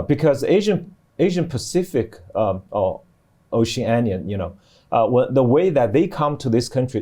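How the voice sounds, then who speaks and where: A middle-aged man explaining things on a panel as a professor, in a halting way with many restarts. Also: medium pitch, mellow. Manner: halting, hesitant delivery with repeated restarts. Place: TV studio.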